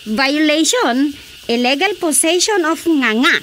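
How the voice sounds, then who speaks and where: A woman's voice: high-pitched, expressive, unhurried, a bit bright, a little hiss or hum. A woman with an Ilocano Filipino accent, acting a sassy, scolding young woman in a radio comedy drama.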